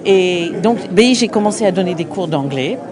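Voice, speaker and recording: A middle-aged woman, an English speaker, answering interview questions at an open day, halting and searching for words with frequent restarts. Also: lowish voice, lively intonation. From United States. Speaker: association representative. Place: busy open-day event. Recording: crowd noise behind. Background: crowd chatter.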